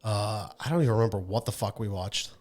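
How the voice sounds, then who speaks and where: A man's voice: fairly low pitch, quick pace, a little mellow. A man co-hosting a podcast, chatting casually and bluntly with plenty of swearing. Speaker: podcast co-host. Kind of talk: casual chat.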